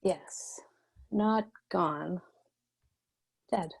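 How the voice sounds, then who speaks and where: A woman's voice: lowish voice, extremely slow, creaky, breathy edge, exaggerated voice. A woman reading the part of a grieving but resolute resistance leader in a screenplay table read, restrained and matter-of-fact. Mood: somber, resolute. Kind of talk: screenplay table read, understated dramatic acting.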